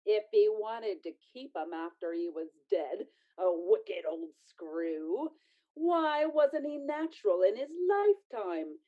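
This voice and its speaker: A shameless, scornful charwoman for a radio play. She speaks slowly and defiantly, with big swings in pitch. The recording is slightly dull. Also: big pitch swings. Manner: theatrical, defiant, emphatic. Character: brazen, scornful working-class charwoman, thieving and shameless. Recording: muffled, dull audio.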